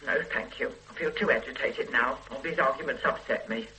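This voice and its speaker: An actress in a radio play voicing a bitter, resentful older invalid wife who speaks in a cold, cutting, controlled way. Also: female voice, very deep voice, a little fast, pushed and bright, slight background noise, poor audio. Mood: weary.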